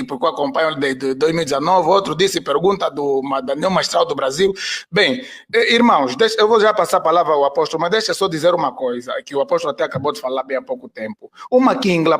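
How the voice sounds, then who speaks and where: Fast-talking Angolan pastor. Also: male voice, a little high, fairly bright tone. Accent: Angolan Portuguese. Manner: fast, earnest, many restarts. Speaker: pastor, co-host. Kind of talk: live Q&A chat. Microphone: video call.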